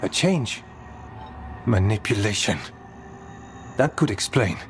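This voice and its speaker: An actor playing a cautious, thoughtful male warden in a fantasy video game, earnest and measured. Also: on the low side, animated, soft background music. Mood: cautious, pondering.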